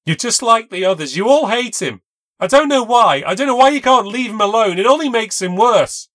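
A distraught young woman who clings to her boyfriend and won't hear a word against him, put on by a male narrator. Her voice rides high and teary, pleading and loud. The story is read aloud by a single storyteller. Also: lively intonation, normal speed, dry recording, studio quality, lightly acted. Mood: angry.

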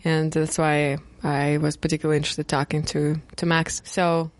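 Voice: medium pitch, level tone, normal speed, no room sound. A podcast host, speaking hesitantly with many fillers and restarts. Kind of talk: casual podcast interview.

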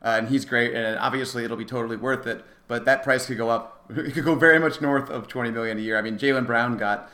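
Guy chatting sports on a podcast, mid pitch, talks quick, really into it and emphatic. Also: steady tone, sharp tone. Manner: enthusiastic, emphatic, quick to laugh. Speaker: podcast co-host. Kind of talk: casual sports podcast discussion.

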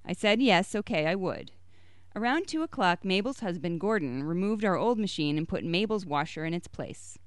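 A woman reads a short story aloud in a fairly low, smooth voice. She is recorded close and dry, with no room sound around her, and she lifts her voice a little higher and brighter when a character speaks. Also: lively intonation, normal speed, no echo. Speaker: story reader.

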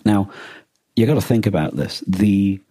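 A man co-hosting a podcast, explaining things in a casual, halting discussion as he thinks aloud. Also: lowish voice, mellow, dry recording. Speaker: podcast co-host. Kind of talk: casual discussion of a radio drama.

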